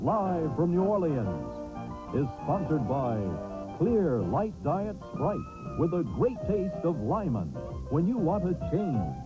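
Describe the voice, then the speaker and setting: A man's voice: a little high, huge pitch swings, slow pace, over loud music, rough recording. An American man reading scripted sponsor announcements as a television voice-over.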